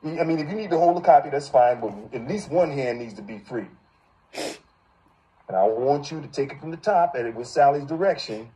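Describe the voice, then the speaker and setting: A man's voice: medium pitch, lively intonation, brisk, mellow. A man coaching voice actors in a group session, encouraging and directive, on dull lo-fi call audio. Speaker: voice acting coach.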